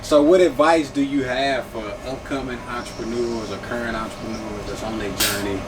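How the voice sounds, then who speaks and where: Very deep-voiced interviewer, distant and noisy. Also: female voice, fairly even intonation, a little fast. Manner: laid-back slang, short affirmations. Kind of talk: casual conversational interview. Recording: distant mic with loud air-conditioning hum.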